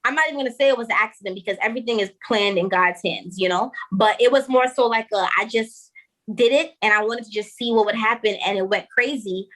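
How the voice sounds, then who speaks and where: A young woman with a Trinidadian accent, a rapper chatting quickly and laughing often as a guest on a video call. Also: a little high, a bit bright, low-quality recording. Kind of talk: casual interview chat.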